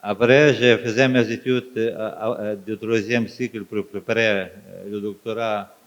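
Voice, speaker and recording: A man from Ukraine, a native Ukrainian speaker with an Eastern European accent, answering as a guest author on stage in halting, fragmented phrases. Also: fairly low pitch, level tone. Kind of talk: onstage interview answers. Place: live festival stage. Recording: dull, muffled live recording.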